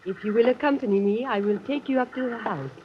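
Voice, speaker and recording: An actress playing a demure, mysterious young woman with a formal, measured manner in 1940s radio style. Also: a little high, close to an everyday voice. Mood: formal welcome. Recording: muffled, noisy old radio recording.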